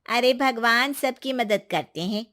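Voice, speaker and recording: A woman's voice: fairly high pitch, measured pace, a bit bright. A woman playing a kind, reassuring village woman with secrets, in a colloquial cartoon moral-story style. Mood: guarded.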